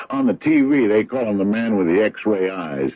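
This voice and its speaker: An actor playing a gruff, stubborn, skeptical small-town American sheriff in an old radio drama, folksy and grumbling. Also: male voice, on the low side, lively intonation, a little slow, a little mellow, slight background noise. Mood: indignant. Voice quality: gravelly, husky. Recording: dull vintage radio broadcast recording.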